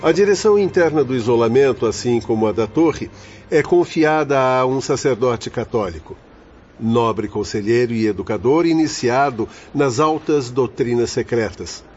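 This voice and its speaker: Man acting a solemn learned doctor, muffled dark audio. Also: medium pitch, expressive, moderate pace, natural voice. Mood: solemn, instructive. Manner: formal, didactic. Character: learned, solemn fatherly spirit doctor, male guide. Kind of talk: radio drama acting. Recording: dull lo-fi audio with faint noise.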